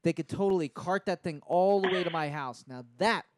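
Eager, scheming dad, played by a male prank caller: higher male voice, rambling and pushy, earnest salesman patter, dry sound. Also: brisk, no echo, close to an everyday voice. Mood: eager, insistent. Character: eager, scheming dad pitching absurd business idea. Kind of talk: improvised prank phone call. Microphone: handheld microphone held close to mouth.

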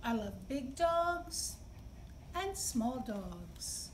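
High-pitched, slow-paced woman hosting children's story time. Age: middle-aged. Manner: simple, slow, expressive phrasing addressed to children. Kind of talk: read-aloud and talk for young children. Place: indoor library children's room. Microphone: camera a few feet away. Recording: distant camera mic, clear background noise.

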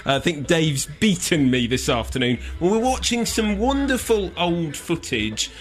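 Loud upbeat male radio reporter. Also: on the high side, big pitch swings, normal speed. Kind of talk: radio interview. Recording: faint music behind.

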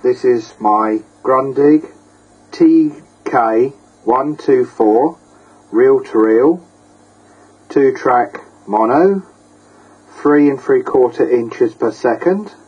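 A man giving a casual, plain-spoken and slightly halting hobbyist demonstration, presenting his own equipment. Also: mid-range voice, no echo, muffled recording. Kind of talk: casual hobbyist demo narration. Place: indoors at a workbench. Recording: clear background noise, amateur camera audio.

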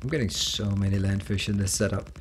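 A man's voice: lowish voice, fairly even intonation, brisk, a little hiss or hum. A man from Denmark with a Danish accent, chatting casually on a game livestream and answering chat as he plays. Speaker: streamer.

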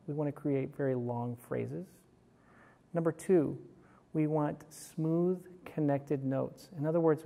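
A middle-aged man, a music instructor, explaining in a measured way in a large classroom. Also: fairly high pitch, vocal fry, dark tone. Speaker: horn professor and instructor. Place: large hard-walled music classroom, indoors. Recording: echoey hall-like classroom reverb.

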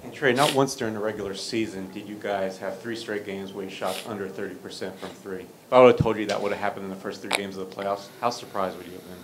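Male reporter with a low voice and probing questions, faint press room hum. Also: steady tone, fast talker, few pauses, low-quality recording.

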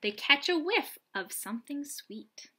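A young adult woman reading aloud and explaining gently, as a teacher does for young children. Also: high-pitched, animated, a little slow. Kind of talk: children's read-aloud and lesson. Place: small lamp-lit room at home, indoors.

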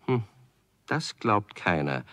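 A man's voice: lowish voice, big pitch swings, takes it slowly, fairly bright tone, slight background noise. A man narrating a scripted children's story as the narrator of a radio play.

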